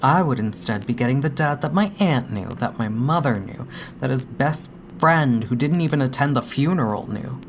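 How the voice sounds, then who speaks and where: A woman acting a grieving, haunted daughter in an audio drama monologue, speaking intimately and haltingly with hushed, breathy dips. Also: a bass voice, expressive, a little hiss or hum. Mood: hesitant, haunted. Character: grieving adult daughter, anxious, haunted, longing for her dead father.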